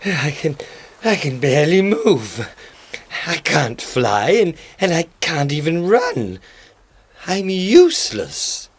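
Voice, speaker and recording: A man's voice: fairly high pitch, huge pitch swings, slow, slightly rough, heavily acted. A man playing a vain, gullible, bumbling dodo in a radio play, pompous but faltering. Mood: exhausted, self-pitying. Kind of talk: radio play character acting.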